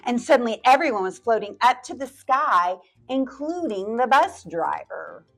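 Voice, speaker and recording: A woman's voice: on the high side, big pitch swings, normal speed, soft background music. A woman library storyteller reading a picture book aloud to children, stretching words out and dropping into playful whispered asides.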